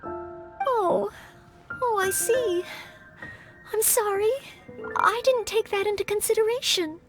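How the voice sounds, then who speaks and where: Very high, earnest young maid. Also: female voice, slow, heavily acted. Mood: apologetic. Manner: courteous, careful, earnest. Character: earnest, polite, eager young maid girl training as knight. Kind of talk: video game character acting. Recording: game music under the voice.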